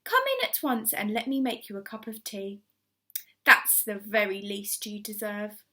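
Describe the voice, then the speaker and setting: A woman voicing an excitable, affectionate lady for a children's story, exclaiming shrilly and gushing with delight. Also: medium pitch, a little slow.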